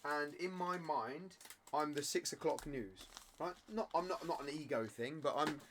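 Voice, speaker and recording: A man who streams and sells cards, talking earnestly to camera in a rambling way, his voice croaky from a cough. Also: a little high, normal speed, slight background noise. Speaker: card-selling live streamer. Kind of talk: candid motivational talk to camera.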